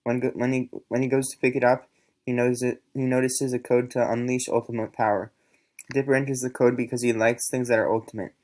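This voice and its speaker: A man's voice: mid-range voice, flat delivery, darkish tone. A man reviewing a cartoon episode, reading a plot recap with many fillers and stumbling restarts. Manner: halting, many fillers and self-corrections. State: voice recently recovered.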